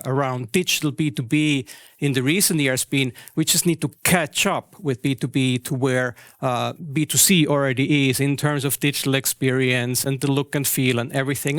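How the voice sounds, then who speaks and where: A man's voice: medium pitch, fairly bright tone, no echo, clean studio sound. A man in his forties from Switzerland speaking as a consultant at a business conference, conversational and often correcting himself mid-sentence. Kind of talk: interview and conference presentation.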